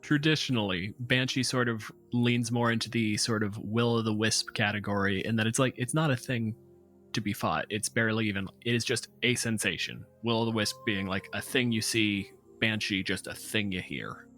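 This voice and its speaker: A man's voice: fairly low pitch, moderate pace, a bit bright, faint music behind. A man hosting a podcast, discussing things casually in a wry, musing way. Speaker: podcast host.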